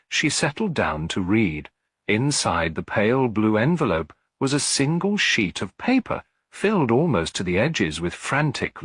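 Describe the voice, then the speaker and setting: A man's voice: medium pitch, fairly bright tone, husky, no echo. A man reading a mystery story aloud as a scripted audiobook narration.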